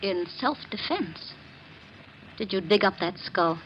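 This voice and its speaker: A woman's voice: mid-range voice, animated, takes it slowly, dull audio. An actress playing a cold, shrewd, scheming woman in a 1940s radio drama, firm and interrogating in manner. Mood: threatening, commanding. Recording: noisy vintage 1940s radio recording.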